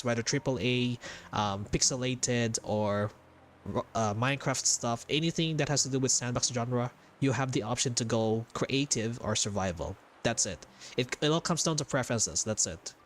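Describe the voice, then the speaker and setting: A man chatting casually as a game livestreamer, informal and often repeating short phrases. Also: medium pitch. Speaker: livestreamer, gaming vtuber. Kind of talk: casual stream chat during game playthrough. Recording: background noise on stream mic.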